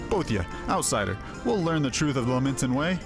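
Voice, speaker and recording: A man voicing a gruff, no-nonsense mercenary chief in a fantasy game, scolding bluntly. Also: mid-range voice, sing-song, brisk. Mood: scornful. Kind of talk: voiced fantasy game dialogue. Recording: music under the voice.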